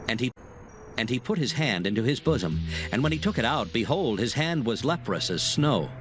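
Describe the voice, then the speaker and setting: A man narrating a dramatized scripture reading in a steady, formal storytelling manner. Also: medium pitch, moderate pace. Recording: music underneath.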